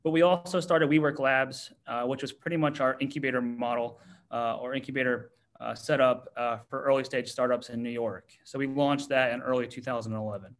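An American man in his thirties, a startup CEO answering interview questions in a relaxed way with many fillers. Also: medium pitch, brisk. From United States. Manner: relaxed, many ums and you-knows.

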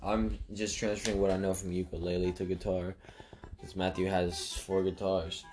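A man's voice: sits low, little pitch movement, moderate pace, darkish tone. A young man chatting casually with friends on a podcast, his nose stuffy, talking in a laid-back way while he plays guitar. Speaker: guest. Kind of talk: casual chat among friends. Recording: guitar playing close to the mic.

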